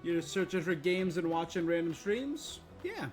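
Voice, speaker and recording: A man's voice: high-pitched, animated, moderate pace, a little mellow. A man chatting casually with viewers as a game streamer, in a laid-back, conversational manner. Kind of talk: casual livestream chat with viewers. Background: video game music.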